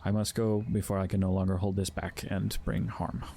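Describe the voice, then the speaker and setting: An actor playing a solemn, anxious guardian spirit of fear, speaking formally and haltingly in an audio drama. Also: male voice, sits low, little pitch movement, gravelly, dark tone, slight background noise. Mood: mournful, apologetic. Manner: formal, halting, stilted wording. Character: Fear, solemn, anxious male emotion spirit, self-sacrificing protector.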